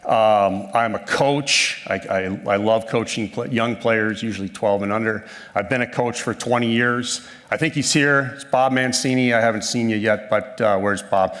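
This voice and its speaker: A man's voice: lowish voice, normal speed, few pauses, a bit bright. A man in his fifties from northern Minnesota giving a folksy, self-deprecating conference talk on a handheld microphone, with frequent ums and restarts. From northern Minnesota, USA. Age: 50s. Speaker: conference speaker. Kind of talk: conversational presentation. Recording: reverberant hall sound.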